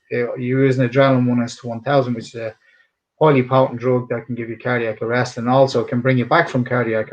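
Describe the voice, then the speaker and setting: A man's voice: medium pitch, low-quality recording. A middle-aged man with a somewhat polished Dublin Irish accent, a cutman answering interview questions in an earnest, emphatic way, rambling and restarting his sentences. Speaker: boxing and MMA cutman, interview guest.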